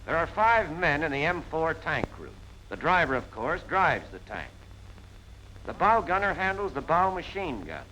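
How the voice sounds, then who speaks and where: A 1940s American military instructor with a bright, forceful voice and lively, swinging intonation. He narrates in a punchy, colloquial way, explaining each step plainly. The soundtrack is an old film recording with faint noise. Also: a little high, normal speed, low-quality recording.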